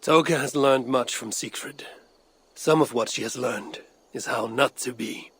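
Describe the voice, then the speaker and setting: A man's voice: mid-range voice, measured pace, fairly bright tone, slightly rough. A man playing a stern, steadfast Viking warrior in a dramatic video game, blunt and restrained. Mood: guarded.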